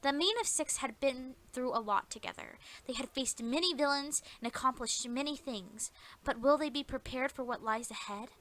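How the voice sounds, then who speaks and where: A woman's voice: high-pitched, moderate pace, fairly bright tone. A woman narrating a scripted fan audio story in a dramatic storytelling style.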